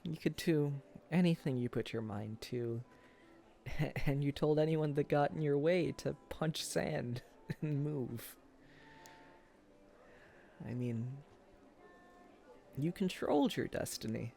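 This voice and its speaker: Man acting as wistful former sweetheart, unhurried, slightly gravelly, self-deprecating, hesitant, intimate boyfriend audio roleplay. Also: a little high, warm tone, full character voice. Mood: regretful, tender. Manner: hesitant, halting, chuckles through confessions.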